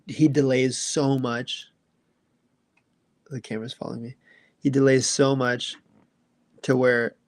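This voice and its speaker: Gravelly-voiced young man, dry close sound. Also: medium pitch, no room sound. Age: 20s. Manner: loose, rambling, filler-heavy. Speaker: livestream host answering chat. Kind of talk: casual chat.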